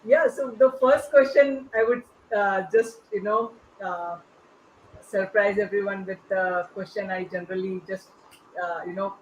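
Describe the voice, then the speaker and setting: A dull, slightly noisy recording of a woman with a mid-pitched voice. She hosts a casual, unscripted discussion, speaking haltingly as she goes. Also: fairly even intonation. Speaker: podcast host and quizmaster. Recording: muffled, clearly noisy connection.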